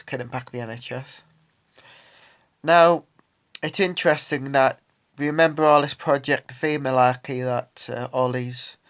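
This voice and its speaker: A man talks to camera in a vlog, his voice thick with a cold and a stuffy nose. He speaks at a middling pitch with an indignant edge. His delivery rambles, with frequent pauses and restarts. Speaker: vlogger. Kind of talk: solo political opinion monologue.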